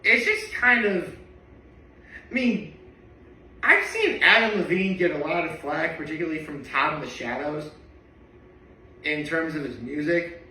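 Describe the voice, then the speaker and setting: A man's voice: a little high, expressive, unhurried, long pauses, sharp tone. Young male tenor vlogger, rambly and chatty, mic a bit far off in a roomy space. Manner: chatty and rambling, with many asides. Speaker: daily vlogger. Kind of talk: casual reaction vlog monologue.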